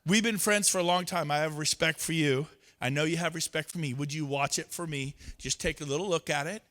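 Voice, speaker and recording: A man's voice: on the high side, fairly quick, a bit bright. A man giving a motivational talk on stage, acting out both sides of conversations with others. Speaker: real estate speaker. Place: event stage.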